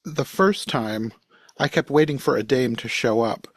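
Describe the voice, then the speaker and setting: A man's voice: medium pitch, measured pace, dry recording. A man chatting casually as a panelist on a podcast, giving his own impressions. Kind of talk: casual chat.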